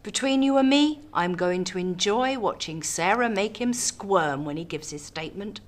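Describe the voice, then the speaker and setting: Woman playing cool, ambitious politician, mid-pitched. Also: animated, normal speed, lightly acted. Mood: scheming, smug. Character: cool, ambitious, calculating female government politician. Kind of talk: radio drama acting.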